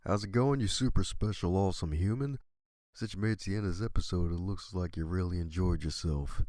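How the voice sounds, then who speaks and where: A man's voice: deep voice, fairly quick, a little mellow, no echo, studio quality. A man hosting a podcast interview, upbeat and playful, repeating his catchphrases. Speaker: podcast host. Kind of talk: casual interview chat.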